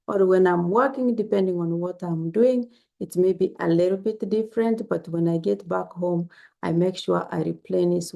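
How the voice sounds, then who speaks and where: A woman talks to her viewers with a heavily gravelly, creaky voice. Her tone is dark and mellow. She advises in an earnest, gently instructive way and repeats her points. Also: fairly low pitch. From Kenya. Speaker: health vlogger. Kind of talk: casual spoken health advice to camera.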